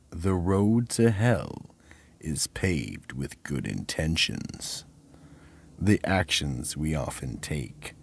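A man narrating a scripted mystery horror anthology as host, ominous, deliberate and dramatic. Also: very deep voice, vocal fry, slightly rough.

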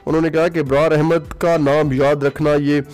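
A man's voice: fairly high pitch, little pitch movement, moderate pace, a little mellow, faint music behind. A man talking through a cricket match in a casual voice-over. He uses many filler sounds and drops in English phrases. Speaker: cricket commentary vlogger. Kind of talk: solo cricket commentary over music. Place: voice-over, not recorded at the ground.

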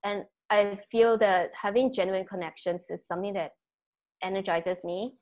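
A woman's voice: medium pitch, steady tone, moderate pace, a little mellow. A woman, a TV presenter chatting and laughing on a video call from home, her nose a little congested. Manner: laughs often, mixes in Mandarin. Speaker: TV presenter, guest. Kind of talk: casual video-call chat. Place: home room, indoors. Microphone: video call, earphone mic.

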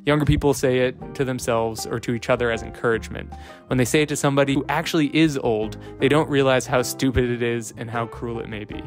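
A man's voice: mid-range voice, quick pace, soft background music. A young man in his twenties hosting a casual book review vlog, talking earnestly with fillers and restarts into a close studio mic in a small home room. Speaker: book channel host. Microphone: boom-mounted studio mic close in front.

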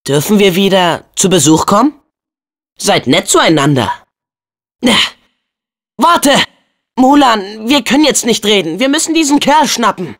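A brave teenage boy hero from a video game, earnest and eager. The voice is youthful and very animated, swinging widely in pitch. The words come at an unhurried pace, each delivered with open, excitable feeling. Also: heavily acted. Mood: eager, friendly. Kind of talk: video game character dubbing.

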